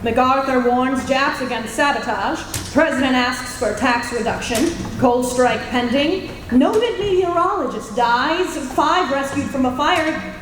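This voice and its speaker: A woman playing an inquisitive, sharp-tongued newspaper reporter in an old-time radio drama, insistent and theatrical. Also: high voice, measured pace. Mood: intrigued. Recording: echoey hall, roomy, faint noise.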